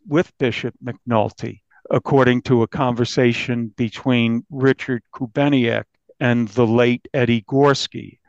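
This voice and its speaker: A man with an American accent recounting a case as an interviewed reporter, haltingly, often restarting his phrases. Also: mid-range voice, vocal fry, no echo. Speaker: newspaper reporter being interviewed. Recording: dull, dry lo-fi recording.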